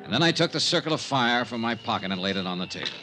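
Male radio detective lead, played by an actor: tough, wry, clipped, very lively inflection, vintage recording with prominent music. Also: medium pitch, racing, close to an everyday voice, low-quality recording. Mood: terse narrating. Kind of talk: old time radio detective acting and narration.

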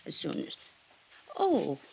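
An actress playing a genteel, absent-minded older widow in an old-time radio drama. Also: female voice, slow pace, darkish tone, natural voice. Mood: flustered, gracious. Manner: rambling, absent-minded, gracious. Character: genteel, fluttery aging widowed former socialite and dancer. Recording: noisy vintage radio recording.

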